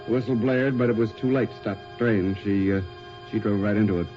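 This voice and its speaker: A man's voice: lowish voice, fairly even intonation, brisk, dull audio, close to an everyday voice. A man playing a matter-of-fact, probing police detective in a radio drama. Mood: grave, factual. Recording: band-limited 1980s radio drama, music behind.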